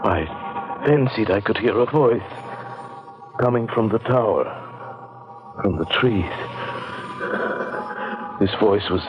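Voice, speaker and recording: An actor playing a haunted, earnest man narrating an old-time radio drama with measured, brooding, halting delivery. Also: on the low side, faint music behind. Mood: brooding, entranced. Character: haunted, earnest male narrator resolved to destroy a pagan grove. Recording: dull, band-limited vintage radio recording.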